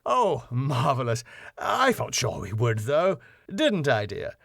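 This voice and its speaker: A man's voice: mid-range voice, huge pitch swings, slow, gravelly, a bit bright, no echo, studio quality, exaggerated voice. A man voicing a flustered club owner in a sitcom, stammering, hesitant and eager to please. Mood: fawning. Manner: stammering, hesitant, over-apologetic. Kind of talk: sitcom script read aloud.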